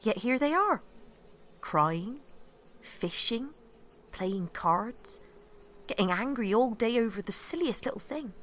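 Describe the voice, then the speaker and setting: Naive teenage girl in a radio play, high lively voice, candid, bit of noise and crickets behind. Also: slow pace, pauses often. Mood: wondering, musing. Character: starstruck, naive, outspoken young woman of eighteen. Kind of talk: naturalistic audio drama acting.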